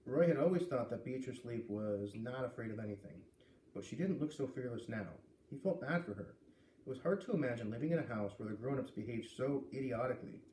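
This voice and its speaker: A man reads a children's novel aloud with expressive stresses on key phrases. His tone is soft and mellow, recorded a little away from the mic in a roomy space with faint noise. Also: medium pitch, brisk, far from the mic. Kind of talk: read-aloud storytelling with acted dialogue.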